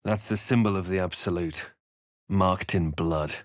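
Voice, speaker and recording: An actor playing a grave, watchful male adventurer in a video game, narrating in a measured, dramatic way. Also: low-pitched, no echo, clean studio sound.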